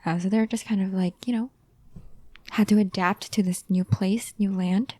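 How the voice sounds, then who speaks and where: A young woman co-hosting a casual podcast chat, talking softly and often dropping to a near whisper. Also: mid-range voice, steady tone, normal speed, dry recording. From family of Chinese ancestry raised in India. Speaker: podcast co-host.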